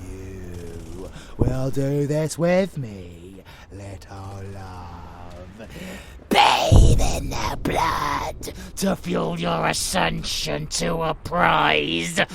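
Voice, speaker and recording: A man playing a sadistic, gloating vampire monster in an audio roleplay, with a gravelly, menacing, foul-mouthed delivery that savors every word. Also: medium pitch, extremely slow, a bit bright, a little hiss or hum, exaggerated voice. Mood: bloodthirsty, relishing. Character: sadistic, gloating male vampire monster who relishes slaughter.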